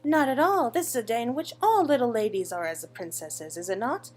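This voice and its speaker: A woman acts out a playful young noble lady from a video game. She is genteel and formal, high and lilting, with faint music behind. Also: lively intonation, brisk, breathy edge. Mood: polite, eager.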